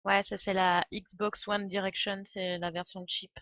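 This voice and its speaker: A woman's voice: medium pitch, level tone, unhurried, fairly bright tone, no room sound. Playful woman streamer on a dull, dry mic. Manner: playful, joking, slangy. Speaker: game streamer. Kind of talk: casual live game commentary. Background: faint video game music and dialogue.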